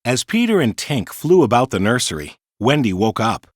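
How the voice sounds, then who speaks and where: A man narrates a children's story with lively, wide-swinging intonation. His mid-pitched voice is recorded dry, and he paces the tale clearly and expressively for young listeners. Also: moderate pace, no room sound. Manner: expressive, clearly paced read-aloud storytelling.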